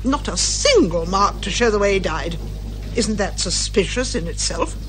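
A woman playing a determined, indignant mystery writer turned amateur sleuth, brisk and emphatic, in a radio comedy drama. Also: medium pitch, lively intonation, a bit bright, a little hiss or hum, close to an everyday voice. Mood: frustrated.

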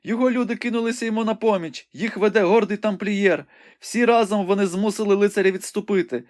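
A man's voice: sits high, fairly even intonation, fast talker, darkish tone, voice pushed up, close to an everyday voice. A man voicing a fervent young woman watching battle. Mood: urgent, fervent. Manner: breathless, exclamatory reporting. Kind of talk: audiobook reading.

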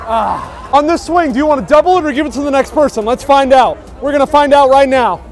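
A young man in his twenties giving excited running commentary at night, filming himself on an action camera at arm's length, with loud outbursts. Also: very high voice, brisk. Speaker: vlogger. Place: outdoor stadium golf bays at night, rain. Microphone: action camera held at arm's length. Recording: noisy outdoor crowd and music. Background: crowd chatter and music.

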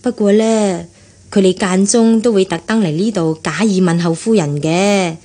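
A woman's voice: medium pitch, moderate pace, dark tone, close to an everyday voice. An actress playing a chatty female servant gossiping about her mistress in a radio drama, with a Hong Kong Cantonese accent. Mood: gossipy. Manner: gossipy, explanatory. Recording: husky-sounding radio rebroadcast, faint music.

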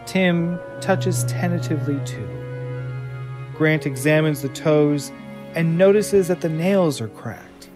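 A man's voice: fairly high pitch, takes it slowly, music in the background. A man narrating an audio drama from a script, describing the action.

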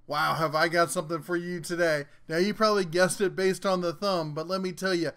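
A man's voice: high-pitched, fairly even intonation, a little fast, a bit bright, smooth. A man hosting a tool review channel, giving a casual, folksy and jokey rundown of a new product as a voice-over.

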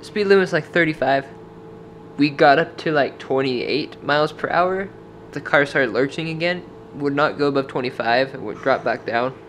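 A man's voice: medium pitch, moderate pace, fairly bright tone, a little hiss or hum. Chatty young man vlogging from a motel. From Washington state, US. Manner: chatty, rambling storytelling with many "like" fillers. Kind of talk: casual travel vlog with voice-over. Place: dim motel room, indoors. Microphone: camera held at arm's length.

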